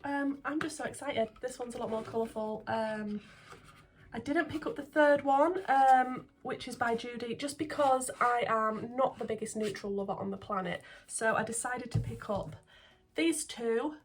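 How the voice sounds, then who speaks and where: A woman who is getting over a cold, chatting excitedly through a makeup vlog at home, full of ums and sometimes dropping to a near-whisper. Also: a little high, normal speed. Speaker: makeup vlogger. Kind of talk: casual beauty vlog chat. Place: indoors at home, filming makeup at a mirror.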